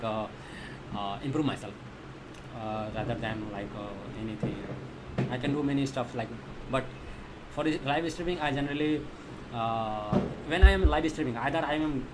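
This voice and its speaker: A man with an Indian accent, a native Thethi speaker, chats casually on a coding livestream in halting, repetitive English, searching for his words. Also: mid-range voice, noisy background. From Magadha region of India. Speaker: live coding streamer.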